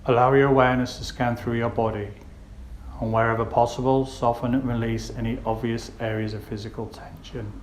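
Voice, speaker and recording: Male meditation guide, mid-pitched and gentle, unhurried phrasing with pauses, flat steady intonation, echoey recording. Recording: roomy echo with faint background noise.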